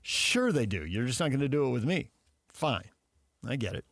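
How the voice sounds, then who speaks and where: A man's voice: mid-range voice, big pitch swings, normal speed, a bit bright, dry recording. A man hosting sports talk radio in a casual conversational style, close on a studio mic. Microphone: close studio microphone.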